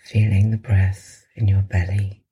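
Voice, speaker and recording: Female meditation guide needed, soft and very breathy, almost whispering at times, unhurried and soothing delivery. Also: rumbling low, little pitch movement. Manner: slow, soothing, with long pauses. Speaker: meditation podcast host and guide.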